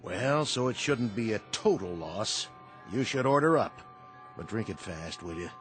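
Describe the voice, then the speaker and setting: Weary, sardonic saloon owner, music behind. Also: male voice, lowish voice, animated, unhurried. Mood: weary, exasperated. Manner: dry, sarcastic wisecracking. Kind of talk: old-time radio drama acting.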